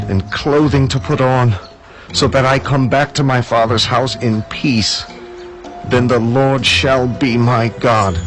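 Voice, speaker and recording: A devout younger Hebrew man in a dramatized scripture production. His voice sits at a middle pitch, earnest and reverent, with some music underneath. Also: a bit bright, rough recording.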